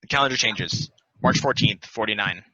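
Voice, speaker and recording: A man's voice: on the low side, moderate pace, bright, forceful tone, dry recording, dull audio. A man reading scripted stage directions as narrator in a play table read.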